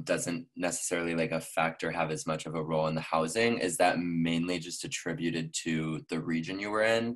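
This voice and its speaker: A young man, a student, asking a question on a video call, halting and searching for words. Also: low voice.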